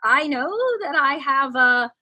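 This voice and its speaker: A woman in her forties with a high, youthful-sounding voice talks freely in a relaxed podcast chat. Her tone is bright and forward, and she laughs easily as she shares stories. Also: slow pace. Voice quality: higher-pitched, youthful-sounding. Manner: expressive, laughs often, animated. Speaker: coach. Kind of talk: casual podcast conversation.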